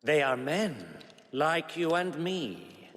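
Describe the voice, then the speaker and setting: An actor playing a zealous, superior servant of a god in a video game, with archaic, measured, sermonizing speech. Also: male voice, on the high side, expressive, fairly bright tone, full character voice. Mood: condescending, pious. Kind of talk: dramatic video game cutscene acting.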